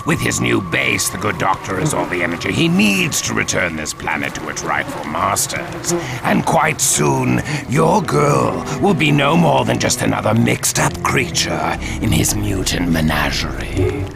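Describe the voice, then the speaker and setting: Sneering cartoon game henchman, gravelly, mocking, lively pitch swings, loud music underneath. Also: heavily acted. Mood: gloating. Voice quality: gravelly, croaky. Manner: mocking, drawn-out delivery. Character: sneering, sinister henchman villain of a mad scientist. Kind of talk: animated game villain acting.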